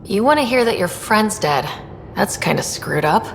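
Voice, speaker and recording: A woman's voice: mid-range voice, expressive, normal speed, gravelly. A woman playing a determined, wry human explorer in a sci-fi video game. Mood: challenging. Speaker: player character in a sci-fi game. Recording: long reverb, faint background noise.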